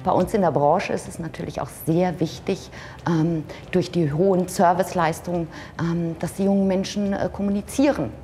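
Woman trainer talking about the job, earnest, some ums, soft music behind. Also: on the low side, fairly even intonation, normal speed. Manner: earnest, with hesitations. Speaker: vocational trainer. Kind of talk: interview statement.

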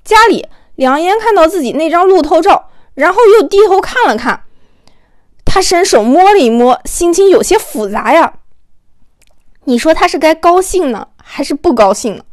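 A woman with a high voice narrates a story. Her delivery swings up and down in a playful, colloquial way, as if sharing gossip. The sound is dry and close. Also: expressive, moderate pace, dry recording. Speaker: audiobook narrator. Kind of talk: animated, chatty storytelling narration.

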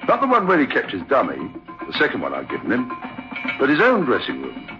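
Animated, chatty theatre manager. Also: male voice, medium pitch, quick pace, music in the background. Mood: eerie, suspenseful. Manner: chatty, hesitant, incredulous. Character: affable, sceptical theatre manager man telling an eerie tale. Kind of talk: old-time radio drama, conversational first-person storytelling. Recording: dull, lo-fi old radio recording.

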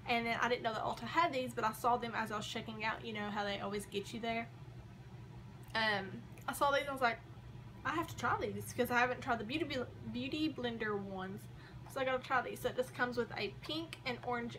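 Young woman, casual haul vlogger, chatty, hoarse voice, filmed in a bedroom corner. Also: on the high side, fairly even intonation, fairly quick, bright, forceful tone, a little hiss or hum, rough recording. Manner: chatty, rambling, frequent likes and ums. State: losing her voice. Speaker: beauty vlogger. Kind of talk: casual makeup haul chat. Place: small bedroom corner by a door.

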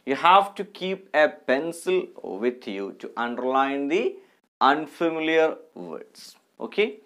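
A man in his thirties with an Indian accent speaks as an English teacher in an online class. His voice sits a little high, and he goes very slowly, articulating each phrase carefully in an instructive way. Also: very animated, fairly bright tone. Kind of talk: online lesson with text read aloud.

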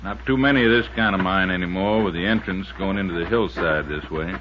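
A man's voice: sits low, a little fast, close to an everyday voice. An actor playing a cheerful, folksy American resort handyman in a 1950s radio drama, talking in plain colloquial phrases. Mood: calm, wry. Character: Buster, cheerful, folksy, sharp-eyed male resort handyman. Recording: muffled, noisy 1950s radio recording.

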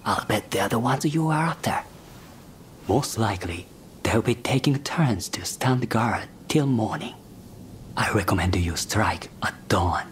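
An actor playing a wry, indifferent mercenary informant in a video game, talking in an offhand, conspiratorial way. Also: male voice, lowish voice, animated, unhurried, a little hiss or hum. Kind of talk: video game cutscene acting.

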